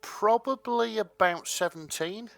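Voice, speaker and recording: A man with a northern English accent co-hosting a casual podcast, loud and jokey. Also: high voice, a little slow, vocal fry, no echo. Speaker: podcast co-host. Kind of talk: casual banter. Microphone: headset.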